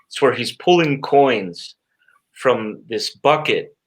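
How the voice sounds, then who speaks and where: Middle-aged guy being interviewed, normal mid pitch, kinda halting, repeats words, lots of filler. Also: lively intonation. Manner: halting, repeats words, frequent "you know". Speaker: interview guest, stage magician. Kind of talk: conversational interview answers.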